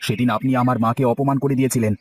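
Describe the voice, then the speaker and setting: A man's voice: mid-range voice, steady tone, very fast, a little hiss or hum, natural voice. A man playing an earnest, plain-spoken young street vendor and devoted son in a children's cartoon. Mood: indignant.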